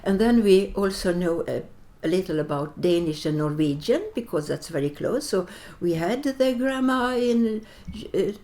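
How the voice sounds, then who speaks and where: An older woman from Sweden, a native Latvian speaker, answering interview questions reflectively in halting English as she searches for words. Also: fairly low pitch, lively intonation, warm tone. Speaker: interview guest.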